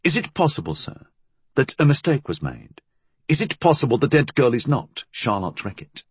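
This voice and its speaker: A man's voice: mid-range voice, very animated, moderate pace, husky, no room sound, voice pushed up. A man voicing a nervous, deferential police inspector in an audiobook, hesitant and formally polite. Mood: uneasy, hesitant.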